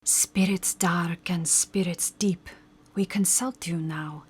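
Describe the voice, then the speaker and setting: A woman's voice: fairly low pitch, steady tone, takes it slowly, slightly rough. A woman playing a formal, commanding and mysterious spirit medium in old-time radio drama style. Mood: solemn, commanding. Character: solemn theatrical female spirit medium.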